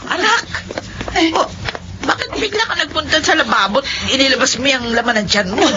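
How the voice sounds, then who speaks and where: A woman's voice: high-pitched, very animated, fairly quick. A woman with a Filipino accent playing a fussing, worried mother in a radio drama. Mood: alarmed. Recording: muffled lo-fi radio audio, clattering noise.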